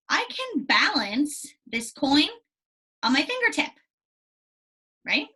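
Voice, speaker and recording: A woman teacher giving a read-aloud lesson to young children, animated and explanatory with frequent check-in questions, in a slightly husky voice. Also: fairly high pitch, poor audio. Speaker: elementary school teacher.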